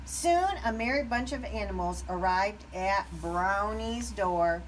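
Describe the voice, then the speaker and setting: Slow, animated woman reading a storybook. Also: mid-range voice, recorded from a distance, rough recording. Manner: expressive, deliberate phrasing. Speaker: read-aloud storyteller. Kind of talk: scripted children's story narration. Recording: background noise.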